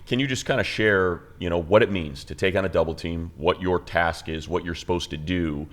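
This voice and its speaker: A man's voice: deep voice, brisk. A man co-hosting a sports talk show, asking informal, rambling and enthusiastic questions in a casual interview.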